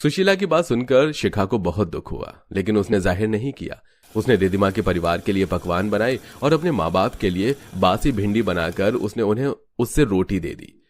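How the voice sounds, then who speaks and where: A man's voice: on the low side, expressive, moderate pace. A man reading scripted narration for a story.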